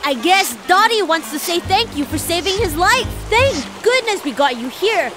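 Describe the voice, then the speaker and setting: Very high pitch, young cartoon boy, anxious, caring, earnest, music behind. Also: expressive, moderate pace. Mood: relieved. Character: anxious, caring young village boy who loves his cat. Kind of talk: cartoon dub acting.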